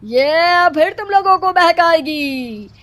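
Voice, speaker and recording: An ominous cartoon holy man performed by a woman gives dire warnings. The voice is high and dramatic, with an accusing edge. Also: lively intonation, slow, fairly bright tone, full character voice. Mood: alarmed, accusing.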